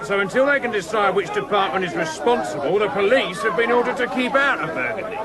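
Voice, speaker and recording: An actor playing a blunt, sardonic London police officer with an English accent in a comedy audio drama. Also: male voice, high voice, quick pace, close to an everyday voice. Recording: busy outdoor crowd behind.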